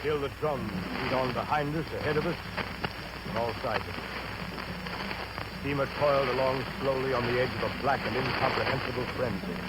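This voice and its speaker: A man's voice: medium pitch, natural voice. An actor playing a wry, brooding steamboat captain who narrates his jungle voyage in a dramatic, literary 1930s radio drama style. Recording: noisy old 1930s radio broadcast recording.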